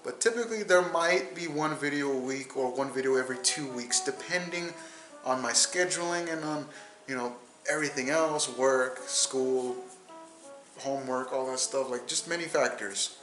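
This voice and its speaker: A man's voice: medium pitch, moderate pace, over music. Rambling young man in an echoey room. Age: 20s. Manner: rambling, repeats words and restarts. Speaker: podcast host. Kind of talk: casual solo podcast monologue.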